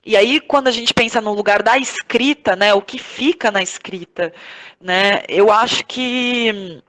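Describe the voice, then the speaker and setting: A woman's voice: mid-range voice, a little slow, a bit bright. A woman with a Brazilian accent, talking reflectively about her own creative work as an interview guest, searching for her words and checking in with the listener. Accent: Brazilian Portuguese. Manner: introspective, searching, often seeking agreement with short tags. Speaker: podcast guest, playwright, actress and director.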